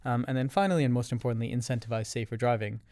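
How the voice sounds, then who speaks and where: A man from Canada, a guest on a podcast, who gives measured answers laid out in ordered points. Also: medium pitch, darkish tone, no room sound. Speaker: guest, revenue operations leader. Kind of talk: interview answers.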